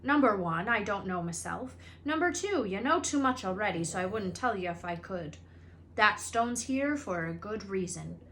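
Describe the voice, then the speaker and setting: A woman voicing a gruff, shifty giant gamekeeper man from a storybook, with rustic, scolding speech. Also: mid-range voice, lively intonation, normal speed, fairly bright tone, a little hiss or hum. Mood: firm refusal.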